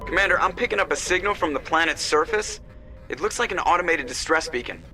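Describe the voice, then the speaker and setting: A man's voice: a little high, animated, fast, bright, forceful tone, faint music behind, exaggerated voice. A man playing a casual, wisecracking starship pilot in a video game, with a flippant, informal way of talking. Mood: alert.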